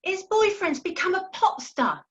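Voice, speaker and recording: A woman's voice: extremely high pitch, sing-song, a little slow, fairly bright tone, lo-fi sound, exaggerated voice. A woman playing a haughty, tart, washed-up singer in a comedy radio play. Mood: indignant.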